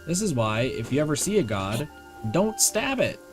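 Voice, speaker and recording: A man's voice: on the high side, big pitch swings, normal speed. A young man giving casual game stream commentary, slangy and repetitive, with casual swearing. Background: game music.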